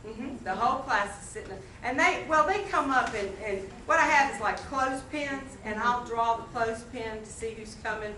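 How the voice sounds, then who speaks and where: A woman's voice: fairly high pitch, normal speed, hardly any pauses, sharp tone. Chatty woman teacher, distant and roomy. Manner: chatty, restarts sentences often. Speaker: teacher presenting at a training. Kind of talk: classroom demonstration talk. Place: school meeting room. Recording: roomy, distant mic, faint noise.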